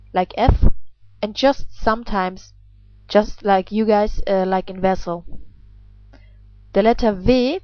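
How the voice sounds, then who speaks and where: A woman, a native German speaker with a German accent, giving an instructional pronunciation lesson as a language teacher, enunciating deliberately. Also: medium pitch, mellow, dry recording, low-quality recording.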